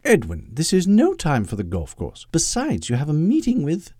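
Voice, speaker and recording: A man's voice: fairly high pitch, wildly swooping pitch, normal speed, warm tone, no echo, studio quality, voice pushed up. A man voicing a prim, imperious elderly British woman, a secretary in an audiobook story. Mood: dry, firm.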